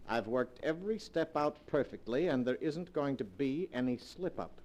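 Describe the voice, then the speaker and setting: An actor playing a smooth, scheming male blackmailer in an old-time radio drama, with an insinuating manner. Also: medium pitch, expressive, moderate pace, close to an everyday voice. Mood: cold, controlling. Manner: suave, insinuating radio acting.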